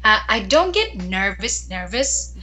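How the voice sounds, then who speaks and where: A woman from Greece speaks as a guest singer in an interview. Her voice is bright and clear at a mid pitch, and she talks thoughtfully, pausing and restarting her sentences as she searches for words. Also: sing-song. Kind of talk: casual interview chat.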